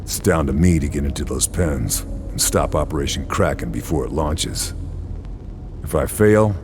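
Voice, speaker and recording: An actor playing a determined male sniper hero, speaking tersely as a steady voice-over narrator. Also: rumbling low, normal speed, noisy background. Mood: grim. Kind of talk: game cutscene voice-over.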